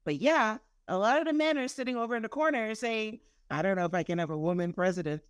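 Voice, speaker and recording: A woman's voice: mid-range voice, fast, smooth, dry recording. Chatty podcast host woman, dry sound. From Guyana. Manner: animated, chatty, laughs easily. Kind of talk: podcast interview.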